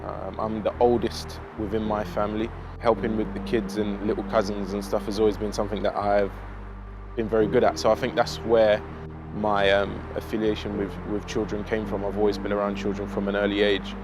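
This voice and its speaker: Young man, South East London accent, flat even pitch, reflective casual storytelling, measured hesitant phrasing, music underneath. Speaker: vlogger telling own life story. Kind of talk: casual autobiographical monologue. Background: background music.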